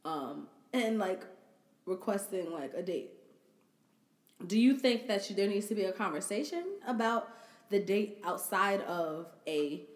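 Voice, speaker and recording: A woman's voice: mid-range voice, expressive. A woman co-hosting a podcast, chatting casually, agreeing often and laughing along. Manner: laughs often, quick back-and-forth agreement. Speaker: podcast co-host. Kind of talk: casual chat. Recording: echoey room.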